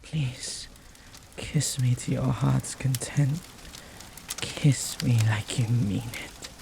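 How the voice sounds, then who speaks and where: A man voicing a flirty, devoted demon in an intimate romantic audio roleplay, speaking formally and reverently in a husky voice that often drops to a whisper. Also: medium pitch, steady tone. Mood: hungry, flirty. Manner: slow, formal, reverent phrasing. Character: jealous, flirty, devoted male demon bound to master.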